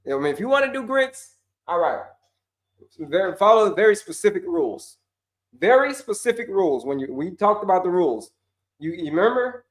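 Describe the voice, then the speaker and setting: A man coaching on diabetes, answering viewer questions in a casual, emphatic way, repeating points and dropping slang. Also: fairly high pitch. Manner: repetitive, emphatic, slangy, addresses viewers as folks.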